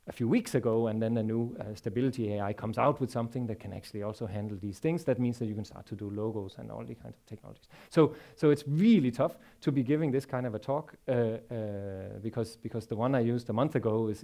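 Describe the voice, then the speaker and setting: A man in his forties with a Danish accent giving a technical conference talk on a large stage, halting and repeating words often. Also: lowish voice, creaky, warm tone. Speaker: conference speaker.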